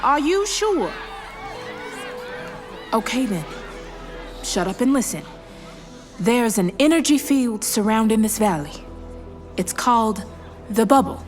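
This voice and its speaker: A woman playing a sharp-tongued, defiant small-town scientist with a folksy, commanding manner in an audio drama. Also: fairly high pitch, sing-song, slow, pauses often, soft background music, some chatter behind.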